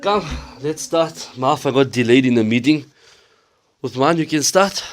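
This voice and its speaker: A man acting as a patient sheikh who teaches his class in a measured, instructive way in an audio drama. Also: medium pitch, expressive, lightly acted. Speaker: Islamic studies teacher.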